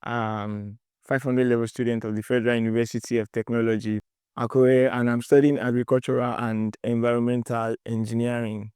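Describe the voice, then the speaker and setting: A man's voice: mid-range voice, flat delivery, fairly quick, a little mellow, smooth, dry recording, clean studio sound. A young man in his twenties with a Nigerian accent, a student answering interview questions in a hesitant, rambling way with many fillers.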